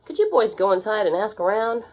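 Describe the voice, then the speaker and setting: Lady doing a mild dad from a kids book, explains things, slightly raspy, lively pitch. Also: brisk, close to an everyday voice. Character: kindly storybook father, adult man, unhurried and mild.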